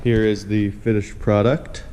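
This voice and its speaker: Stuffed up young guy, nasal and kinda low, rambling w lots of uhs, echoey garage w long ring. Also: little pitch movement, mellow, slight background noise. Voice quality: nasal, plugged-up. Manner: rambling, profane, halting with many uhs. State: congested, stuffed-up nose from allergies. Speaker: motorcycle DIY vlogger. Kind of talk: casual garage how-to walkthrough. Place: home garage workshop.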